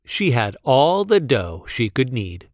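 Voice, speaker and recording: Animated man reading aloud, slow and deliberate. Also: medium pitch, dry recording, studio quality. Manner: deliberate, playful emphasis on repeated sentences. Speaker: read-aloud storyteller. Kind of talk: expressive picture book read-aloud.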